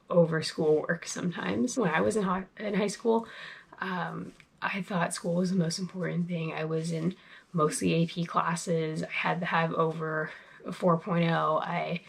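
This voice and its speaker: Husky, gravelly young woman vlogging. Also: lowish voice, unhurried. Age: young adult. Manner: relaxed, chatty, lots of fillers and "like". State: recovering from a cold, sniffly. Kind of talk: casual talk to camera.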